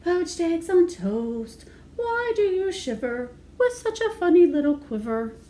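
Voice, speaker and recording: Storybook little girl voiced by a woman reader: high, singsong, fussy and sure of herself, slightly noisy recording. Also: sing-song, unhurried, mellow, full character voice. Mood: playful singsong. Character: fussy, singsong young girl who loves jam.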